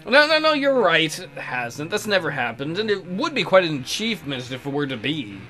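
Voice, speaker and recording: A man's voice: on the high side, sing-song, fairly quick, pushed and bright, soft background music, rough recording. A man playing a flustered, evasive private tutor in a visual novel, hesitant and placating.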